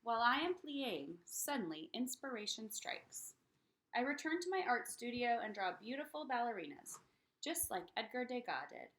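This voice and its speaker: A woman reads a picture book aloud with a high, lively voice that swings widely in pitch. Her delivery is expressive and dramatic, pitched at young children, with a bright, clear edge. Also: moderate pace. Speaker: read-aloud storyteller.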